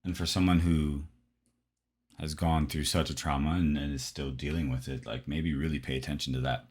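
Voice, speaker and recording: Husky, very low-voiced man, Wisconsin accent. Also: fairly even intonation, moderate pace. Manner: easygoing, thoughtful, laughs easily. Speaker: guest co-host, the host's boyfriend. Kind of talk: casual podcast advice chat.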